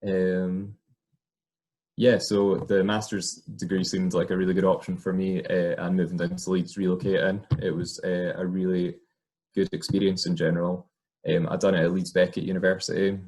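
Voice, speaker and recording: A man's voice: sits low, normal speed. A young Scottish man, an occupational therapist, talking casually about his career with plenty of ums and uhs. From Scotland. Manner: relaxed, many ums and uhs. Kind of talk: informal talk to camera.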